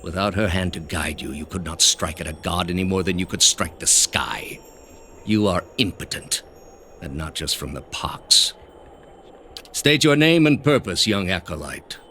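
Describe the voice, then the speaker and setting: An actor playing a cold, pompous male grandmaster of an ancient zealous order in a video game, speaking deliberately and with condescension. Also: low-pitched, expressive, bright, forceful tone, a little hiss or hum, exaggerated voice. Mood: scornful, imperious. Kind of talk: dramatic video game dialogue.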